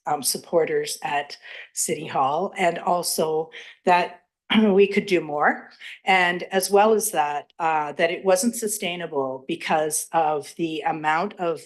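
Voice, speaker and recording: A woman who presides over a community society, giving a chatty, halting update to council with a big, loud voice. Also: deep voice. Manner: chatty, halting, with many fillers. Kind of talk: presentation to council. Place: council chamber.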